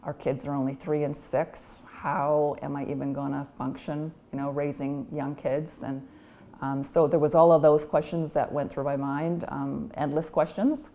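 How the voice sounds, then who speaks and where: A woman's voice: low-pitched, flat delivery, moderate pace, mellow. A woman in her forties speaking candidly and reflectively in a documentary interview, with frequent ums. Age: 40s.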